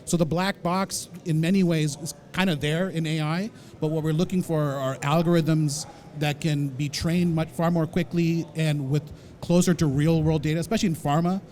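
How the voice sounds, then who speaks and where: Guy on a panel talking tech, kinda higher voice, steady flow with some uhs. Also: normal speed. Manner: fluent technical explanation with some uhs. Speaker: panelist, technology executive. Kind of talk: conference panel answer.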